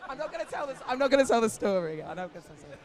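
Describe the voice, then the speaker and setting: Hoarse british woman in her forties, high voice, chatty and candid, festival crowd noise behind. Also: rapid-fire. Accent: British English. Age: 40s. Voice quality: animated, chatty, swears casually. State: hoarse, lost voice. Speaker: agency founder, panel guest. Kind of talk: candid conversational panel talk. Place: outdoor festival stage with crowd.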